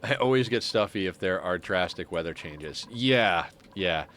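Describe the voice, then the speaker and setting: A man with a low voice and a stuffy, congested nose talks through a game he is streaming. He mutters his plans half to himself, mocks his own choices and chuckles often. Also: lively intonation, moderate pace, fairly bright tone. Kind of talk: casual gameplay commentary.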